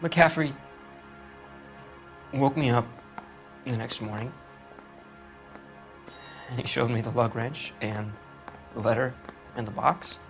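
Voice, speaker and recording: An actor playing a shaken, guilt-ridden murder suspect who speaks in halting, broken phrases in a dramatic game. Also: male voice, medium pitch, soft background music. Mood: shaken, confessing. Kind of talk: dramatic video game acting.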